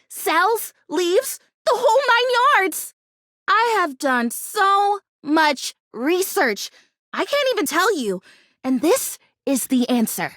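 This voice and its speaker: A female fake houseplant from a video game who dearly hopes to be real. The voice sits extremely high and moves in lively swoops. The delivery is chatty, earnest and dramatic, with a gushing, stubborn optimism. It is recorded close and dry, with no room sound. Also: dry recording, full character voice. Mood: eager, dramatic. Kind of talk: animated video game character acting.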